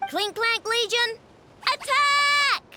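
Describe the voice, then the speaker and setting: A woman voicing an excitable little girl who leads a play legion in a video game. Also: extremely high pitch, slow, a little hiss or hum, heavily acted. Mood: rousing battle cry.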